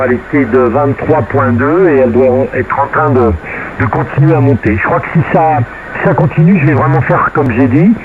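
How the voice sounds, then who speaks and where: A man chatting casually as an amateur radio operator over a two-way radio transmission. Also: medium pitch. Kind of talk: casual radio contact chat. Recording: narrow, noisy radio link.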